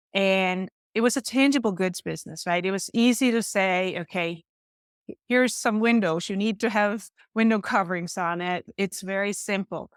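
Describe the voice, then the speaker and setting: Lady coach in her middle years chatting in an interview, kinda low voice, close dry sound. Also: no echo. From Denmark. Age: middle-aged. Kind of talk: conversational interview answers.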